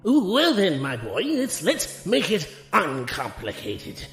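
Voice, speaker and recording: A man's voice: sits high, huge pitch swings, slow, a bit bright, full character voice. A man playing a jovial, folksy old professor in an audio drama, teasing and avuncular. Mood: jovial, persuasive. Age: older. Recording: roomy, echoing sound with faint music.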